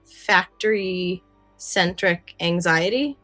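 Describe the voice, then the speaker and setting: A woman co-hosting a podcast, chatting casually and thinking aloud in an animated, conversational way. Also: on the low side, slow pace, no room sound, faint music behind. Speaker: podcast co-host. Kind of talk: casual podcast discussion of an old radio drama.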